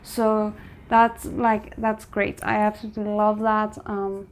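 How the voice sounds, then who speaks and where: A woman's voice: medium pitch, level tone, measured pace, a little hiss or hum. A woman giving casual reaction commentary as a host, musing and thinking aloud. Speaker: host of a drama reaction channel.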